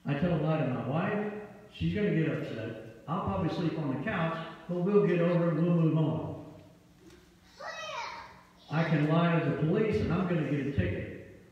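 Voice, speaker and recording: A middle-aged pastor with a Midwestern American accent, preaching a sermon with emphatic delivery in a church sanctuary, using a handheld mic. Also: male voice, fairly high pitch, normal speed, long pauses, slight background noise. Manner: emphatic preaching with wide gestures, rising to loud peaks. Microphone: handheld mic, far camera. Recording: echoey hall, distant and dull.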